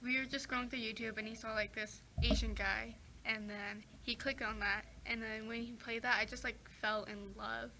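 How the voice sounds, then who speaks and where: A woman's voice: a little high, flat delivery, normal speed, gravelly, few pauses, background noise. A teenage girl talking casually as a guest, full of "like" and "um" and restarting her sentences. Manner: chatty, many fillers and restarts. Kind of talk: casual chat.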